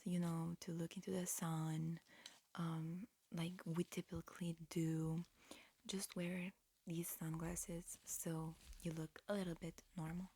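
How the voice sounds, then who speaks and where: A woman performing a whispered ASMR roleplay, mixing breathy whispers with soft speech in a halting, matter-of-fact, instructional manner. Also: fairly low pitch. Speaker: ASMR performer playing an alien examiner.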